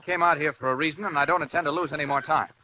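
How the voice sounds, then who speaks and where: An actor playing a level-headed, determined newspaper reporter in 1940s radio drama style, firm and reasoning. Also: male voice, on the high side, fairly even intonation, racing, bright, forceful tone. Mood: firm, probing. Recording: noisy old-time radio recording.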